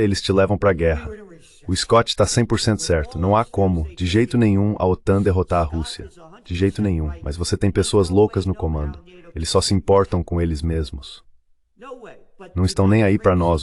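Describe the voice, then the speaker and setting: A man's voice: low voice, darkish tone. A man with a Brazilian accent delivers dubbed political commentary, blunt and emphatic, often repeating himself. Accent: Brazilian Portuguese. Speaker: guest commentator.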